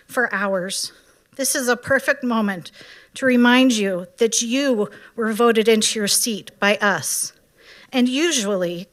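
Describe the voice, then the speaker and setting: A woman reading a prepared public comment at a county board meeting in a measured, critical way. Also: on the high side, a bit bright.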